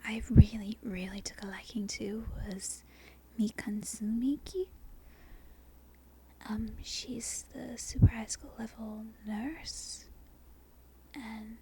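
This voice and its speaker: Whispering Australian woman, halting and soft. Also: on the high side, steady tone, heavy background noise. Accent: Australian, softened. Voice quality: breathy whisper. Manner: halting, unscripted, self-correcting. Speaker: amateur ASMR video creator. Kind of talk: whispered ASMR ramble. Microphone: non-binaural microphone.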